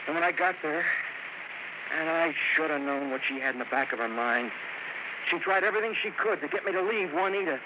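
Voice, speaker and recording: An actor playing a bitter, fed-up young ballplayer who talks curtly and resentfully, in 1950s radio drama. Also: male voice, on the high side, brisk, lo-fi sound, lightly acted. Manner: curt, resentful. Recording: hissy old radio broadcast.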